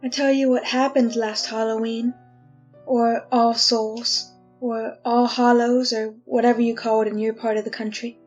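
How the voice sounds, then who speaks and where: A woman's voice: a little high, little pitch movement, unhurried, a little mellow, dull audio, lightly acted. A woman playing a resigned, wry condemned prisoner in a 1940s-style radio drama, talking in a folksy, confiding way. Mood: wry, brooding. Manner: colloquial, confiding. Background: music.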